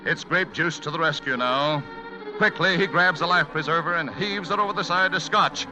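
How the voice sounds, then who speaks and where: A man's voice: a little high, moderate pace. A man announcing and narrating a comedy in the scripted style of 1940s radio. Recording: dull, lo-fi vintage radio recording. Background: music.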